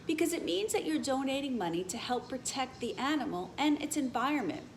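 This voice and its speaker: A patient female schoolteacher from a children's storybook, as a woman reads her aloud, explains things with emphasis. Her pitch is high and swings widely, over a faintly noisy recording. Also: big pitch swings, brisk. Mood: reassuring. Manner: clear, instructive, emphatic.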